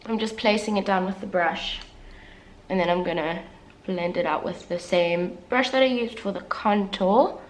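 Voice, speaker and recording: A woman's voice: mid-range voice, moderate pace. Congested young woman chatting through makeup. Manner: chatty, candid, self-deprecating asides. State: congested, recovering from flu. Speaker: beauty vlogger. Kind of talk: casual makeup tutorial chat.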